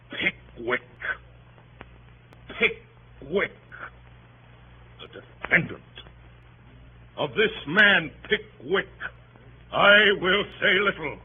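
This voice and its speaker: Pompous barrister: high voice, highly animated grandiose oratory, vintage radio drama sound. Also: male voice, exaggerated voice. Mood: indignant, scornful. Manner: theatrical, grandiose, emphatic oratory with dramatic pauses. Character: pompous, melodramatic male barrister addressing a jury. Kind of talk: 1930s radio drama courtroom oration. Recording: dull, noisy 1930s radio broadcast.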